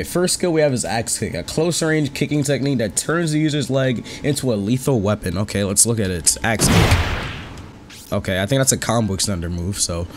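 A young man giving casual, enthusiastic gameplay commentary in an informal way, his voice slightly worn from being sick. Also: lowish voice. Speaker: gaming YouTuber. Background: music under the voice.

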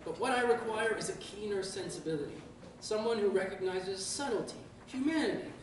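Brief: reader playing a blind lumber tycoon, brisk and proud; distant, echoey room with ambient noise. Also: medium pitch, pitched above the performer's own voice, lightly acted. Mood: brusque, assured. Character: blind lumber baron, adult man, brusque and proud. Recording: echoey room, far from mic, background noise.

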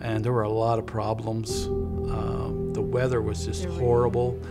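A church member tells his story in a plain, matter-of-fact way at a middle pitch. Loud music plays beneath him. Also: monotone, a little slow, creaky. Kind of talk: documentary interview. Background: loud background music.

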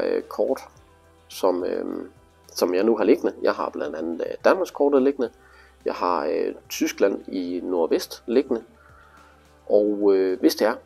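Low, creaky-voiced man. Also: warm tone. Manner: informal, step-by-step explaining. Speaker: instructor demonstrating motorcycle display. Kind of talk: hands-on tutorial narration, casual.

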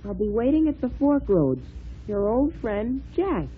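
A woman's voice: on the high side, big pitch swings, unhurried, breathy edge, full character voice. A woman playing an eager, curious young boy in an old-time children's radio show. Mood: curious, excited. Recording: tinny old radio recording.

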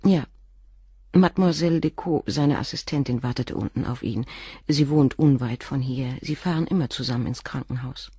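A husky, composed doctor's wife. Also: female voice, a bass voice, mellow, no room sound, studio quality, exaggerated voice. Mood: candid. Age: 40s. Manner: measured, frank, controlled confession. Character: composed middle-aged doctor's wife, former nurse. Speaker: witness questioned by the inspector. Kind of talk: radio drama acting.

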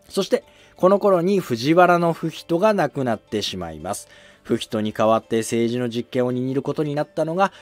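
Lively young man explaining history. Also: fairly high pitch. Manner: polite, lively, with drawn-out fillers. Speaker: history channel host.

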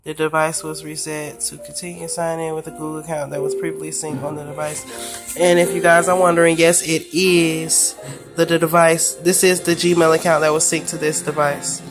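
A woman casually narrating a phone screen recording, flatly describing a sign-in problem and asking viewers for help. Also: deep voice, moderate pace, music in the background. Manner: flat, frustrated help request. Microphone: phone camera filming a handheld screen.

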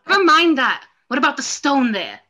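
A woman's voice: high voice, sing-song, normal speed, pushed and bright. A young woman doing an amateur script reading and chatting casually on a video call. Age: young adult. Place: room at home on a video call.

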